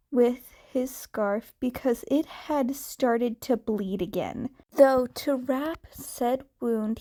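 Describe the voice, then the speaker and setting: A woman's voice: on the high side, warm tone. A woman narrating a scripted story as a fan fiction reader.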